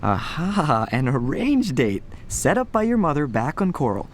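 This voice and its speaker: A man's voice: on the high side, very animated, a little slow, slight background noise. An actor playing a breezy young man who needles his friend with jokey mock sympathy in a radio drama. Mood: teasing. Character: teasing, breezy young male buddy. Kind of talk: naturalistic radio drama acting.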